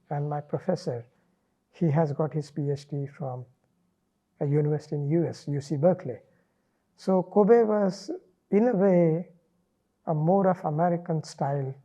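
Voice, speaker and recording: Middle-aged Indian-accented man, native Marathi speaker, husky and measured, a bit lo-fi. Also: fairly high pitch, dark tone. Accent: Indian English. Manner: measured, thoughtful, frequent "uh" pauses. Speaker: interviewee, linguist. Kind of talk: relaxed reflective interview.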